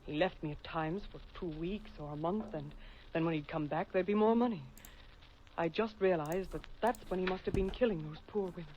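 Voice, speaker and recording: An actress playing a desperate, secretive wife who pleads for help, in a 1940s radio drama. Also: female voice, lowish voice, a little fast, a little mellow, close to an everyday voice. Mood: pleading. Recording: dull old radio recording, faint hiss.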